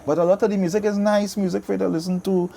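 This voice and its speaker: A middle-aged Trinidadian man talks quickly in a casual interview. His voice is mellow and slightly high for a man, and he repeats phrases as he tells his stories. There is faint noise in the background. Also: level tone, vocal fry. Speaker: soca DJ and artist being interviewed.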